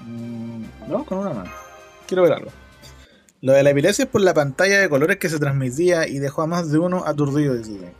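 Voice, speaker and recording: High-voiced Chilean man streaming. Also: expressive, a little fast, faint music behind. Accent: Chilean Spanish. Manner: joking, reads chat messages aloud. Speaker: streamer reading chat. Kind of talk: casual gameplay stream chat.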